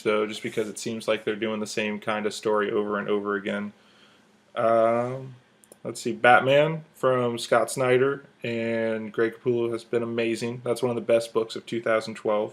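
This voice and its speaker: Man with a worn, gravelly voice. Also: medium pitch. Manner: enthusiastic, blunt, laughs while talking. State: voice worn from a cold. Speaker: podcast co-host. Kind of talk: casual chat.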